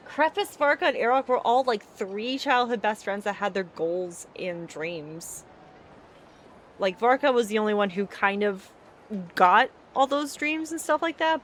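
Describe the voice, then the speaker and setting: A woman's voice: medium pitch, sharp tone. A woman streamer giving informal, exclamatory and invested reaction commentary on a game, with slight noise.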